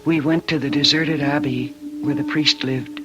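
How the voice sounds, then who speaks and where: An actress playing a troubled young woman confessing a dark deed in an old radio drama, dramatic, anguished and halting. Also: low-pitched, steady tone, muffled recording, over music, close to an everyday voice. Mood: haunted.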